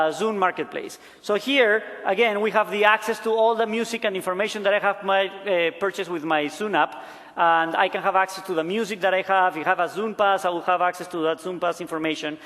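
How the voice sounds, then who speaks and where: Male product demonstrator, Peruvian accent, fairly high voice, presenting live on stage in a big reverberant hall. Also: fairly bright tone. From Peru. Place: large conference hall stage. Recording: echoey large conference hall.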